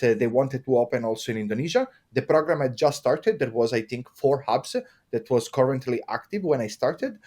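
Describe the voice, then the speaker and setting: A man with an Italian accent, a podcast guest telling his story in casual, enthusiastic talk with frequent pauses. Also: mid-range voice, a little mellow. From Italy.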